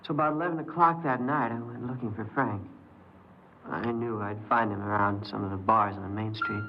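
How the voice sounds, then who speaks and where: A man's voice: fairly low pitch, moderate pace, noisy background, natural voice. An actor playing a naive teenage runaway boy who puts on a worldly front, hesitant and defensive, in 1950s radio drama style. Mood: sheepish confessing. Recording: muffled 1950s radio recording with music.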